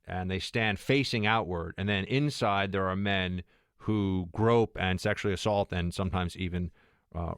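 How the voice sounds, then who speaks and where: A man's voice: sits low, no echo, studio quality. A man hosting a talk radio show, talking off the cuff with many fillers and restarts. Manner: extemporaneous, with frequent fillers and restarts. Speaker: radio host.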